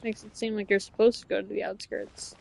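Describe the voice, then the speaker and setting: A woman's voice: medium pitch, flat delivery, fairly quick, vocal fry, a little mellow, slight background noise. A woman game streamer giving casual live commentary, musing aloud to herself as she plays. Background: faint game music.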